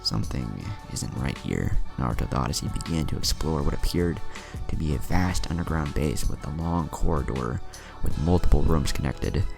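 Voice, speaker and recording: A man narrating a fan fiction story in an expressive storytelling manner. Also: a bass voice, normal speed, gravelly, few pauses. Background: music.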